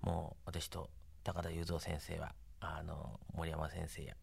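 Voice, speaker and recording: A man's voice: rumbling low, monotone, takes it slowly, creaky, husky. A man speaking as an interview guest, conversational, in short phrases broken up by fillers. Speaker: interview guest, anime production staff.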